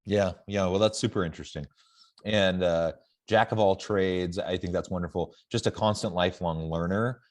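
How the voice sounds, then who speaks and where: A man hosting a casual conversational podcast, reflecting in an agreeable, slightly halting way. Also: sits low, steady tone. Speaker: podcast host, university professor.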